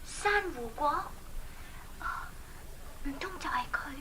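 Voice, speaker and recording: A gentle, secretive young princess in a radio play. She speaks with a Hong Kong Cantonese accent in a high, bright voice. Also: expressive, slow, full character voice, low-quality recording. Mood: polite. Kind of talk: 1980s Cantonese children's audio drama.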